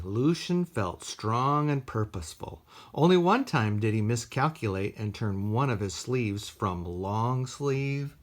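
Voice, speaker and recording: A man with an American accent reading aloud at a children's storytime, expressive and deliberate, with casual asides in simple words. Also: lowish voice. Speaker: library storytime reader.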